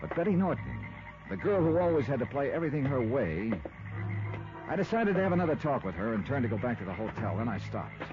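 An American actor playing a wry, suspicious insurance investigator with clipped, probing delivery in a 1950s radio detective drama. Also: male voice, mid-range voice, very animated, quick pace, music in the background, close to an everyday voice. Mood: tense, brooding. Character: hard-boiled, suspicious male insurance investigator. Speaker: lead actor and narrator. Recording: lo-fi old radio recording, loud noise.

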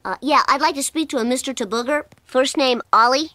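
A woman voicing a mischievous ten-year-old boy prank caller with a slightly scratchy child's voice in an animated sitcom. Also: high voice, brisk, natural voice. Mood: sly, impatient.

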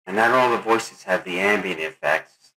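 A middle-aged man narrating a software tutorial as its host. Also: on the low side, very animated, normal speed, low-quality recording. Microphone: close desktop microphone. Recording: clear background noise.

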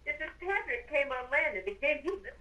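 High female voice, very animated, casual game roleplay, distant noisy chat audio. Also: brisk. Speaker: Minecraft roleplay player.

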